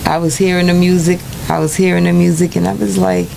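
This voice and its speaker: A woman radio host talks in a low, gravelly voice. Her chat is loose and rambling, full of fillers and restarts. A steady hiss of noise sits under her voice. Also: fast. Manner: laid-back, rambling, lots of fillers and restarts. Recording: noisy radio studio recording.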